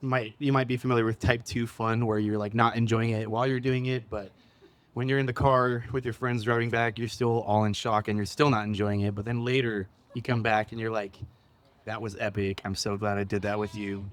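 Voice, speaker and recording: A man's voice: on the low side, level tone, a little fast. A man from Southern California, a guest on a live panel, talking in a laid-back, jokey way with lots of fillers. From Ontario, California. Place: cafe event stage.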